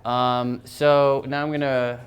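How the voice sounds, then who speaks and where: A young man who founded a design agency, telling a rambling, animated story to a crowd on a handheld microphone in an event room. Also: medium pitch, slow pace, clean tone, muffled recording. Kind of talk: casual presentation to an audience.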